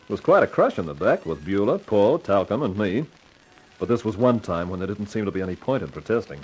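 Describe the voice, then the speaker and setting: Wisecracking American detective, husky, expressive delivery, wry noir storytelling, faint music behind. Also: male voice, fairly low pitch, brisk, low-quality recording. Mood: wry, tense. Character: wry, wisecracking hard-boiled detective narrating his case. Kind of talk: 1950s radio noir narration and dialogue.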